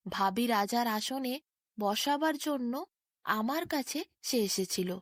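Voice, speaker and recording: A troubled, fatherly king of a fairy tale read by a woman speaks with formal earnestness. The voice is fairly high and recorded very dry. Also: animated, slow pace, no room sound. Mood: grave, worried. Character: aging king, troubled loving father, solemn and principled.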